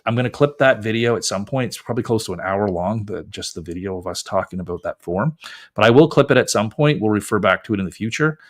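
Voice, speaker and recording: A man hosting a live call-in show as a lawyer, giving advice in a casual, conversational way. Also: fairly low pitch. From Canada. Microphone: close mic, wearing headphones.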